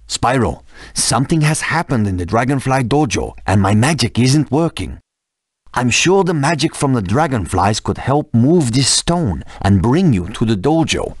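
A grave, earnest mentor guiding a young hero in a fantasy game. The voice is mid-pitched and expressive, recorded dry. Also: male voice, moderate pace, husky, dry recording. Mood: earnest, urgent.

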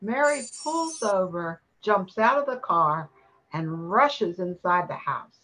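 A woman's voice: on the low side, lively intonation, slow pace, fairly bright tone, slight background noise, low-quality recording. An older woman narrating a scripted radio play.

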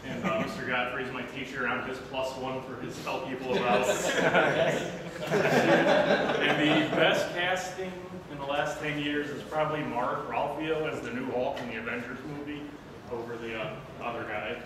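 A man introducing himself as a student, with a loud voice and a laugh. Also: medium pitch, fairly even intonation, moderate pace. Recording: echoey room, far mic, crowd noise.

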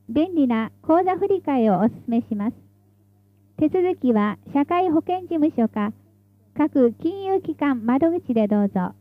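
A woman's voice: sits high, no room sound, narrow, tinny audio. A woman reading scripted tram announcements in a formal, polite, carefully enunciated way, as the stop announcer.

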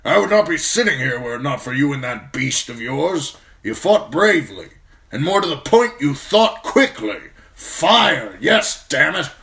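A man voicing a blunt, gruff old military lord who gives brusque orders, in an audiobook reading. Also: fairly high pitch, big pitch swings, unhurried, fairly bright tone, voice pushed up, heavily acted.

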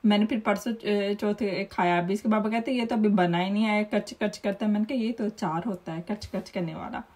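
A woman's voice: medium pitch, normal speed, a little mellow. A woman, chatting and telling stories over her home video. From Pakistan. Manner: chatty, storytelling, laughs often. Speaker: vlogger.

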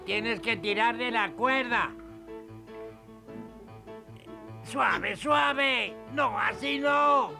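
A man's voice: extremely high pitch, takes it slowly, vocal fry, full character voice. A man voicing an anxious, careful old gnome in a cartoon, fussy and cautioning, with music behind. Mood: alarmed. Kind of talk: cartoon dub acting.

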